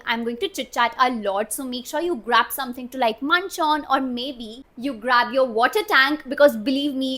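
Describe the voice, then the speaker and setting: A woman's voice: high-pitched, pushed and bright. A woman in her twenties with an Indian English accent, chatting casually in a sit-down Q&A vlog and often slipping into Hindi. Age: 20s. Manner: chatty, heavy use of "like", switches between English and Hindi. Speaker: YouTuber.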